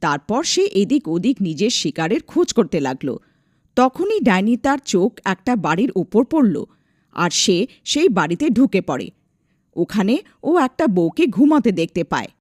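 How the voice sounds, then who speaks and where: A woman's voice: medium pitch, big pitch swings, normal speed, a little mellow, no room sound, clean studio sound. A woman narrator reading a scripted folk tale in a storytelling style.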